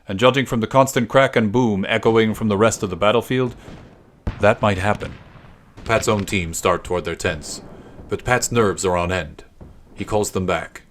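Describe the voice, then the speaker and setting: A man's voice: lowish voice, normal speed, slight background noise. A man reading a historical war story aloud as scripted dramatic narration for a literary podcast.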